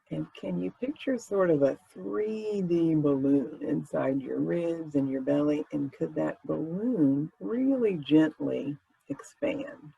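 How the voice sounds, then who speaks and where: A man leading a guided meditation in a gentle, informal, conversational way with unhurried phrasing. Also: on the high side, creaky, breathy edge, dull audio.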